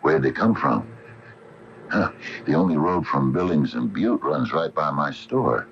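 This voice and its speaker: A muffled old radio drama recording carries a very animated male voice. an uneasy small-town store owner, baffled and prone to stammering. The pitch swings widely as he talks. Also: unhurried, heavily acted. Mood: puzzled, intrigued. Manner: stammers when rattled. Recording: muffled, noisy 1980 radio broadcast tape.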